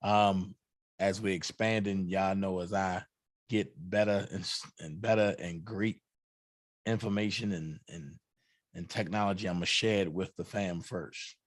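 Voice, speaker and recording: A hoarse man hosting a livestream, chatting casually and hyping up shout-outs to fellow channels. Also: low-pitched, fairly even intonation, a little slow, dry recording. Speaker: livestream host.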